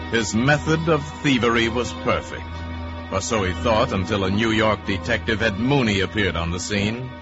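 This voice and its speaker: A man playing the wry, measured, theatrical narrator of a radio crime drama. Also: fairly low pitch, lightly acted. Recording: dull radio recording with music.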